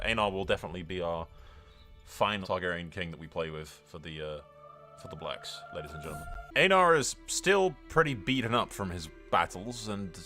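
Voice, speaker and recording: Low-voiced man doing casual game commentary. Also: pushed and bright. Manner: sweary, jokey, conversational asides to the audience. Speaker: host of a gaming video channel.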